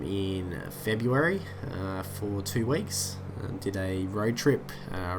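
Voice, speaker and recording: A man's voice: on the low side, background noise. A man with a head cold that makes him sound nasal, casually commentating his flight simulator session and often losing his thread. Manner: rambling, many "uh" fillers, easily sidetracked. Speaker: flight sim YouTuber.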